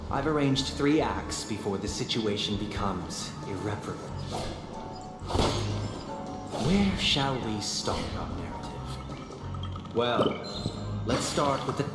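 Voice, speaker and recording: A man's voice: fairly low pitch, sing-song, measured pace. An actor playing a composed, courtly male villain in a video game, formal, polite and theatrical. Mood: polite menace. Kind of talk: game acting, solemn storytelling. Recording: echoey, over loud game music.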